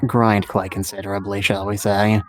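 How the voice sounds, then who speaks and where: A man chatting casually as the guest in a livestream interview, wordy and self-deprecating, often halting mid-phrase. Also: lowish voice, level tone, a little hiss or hum.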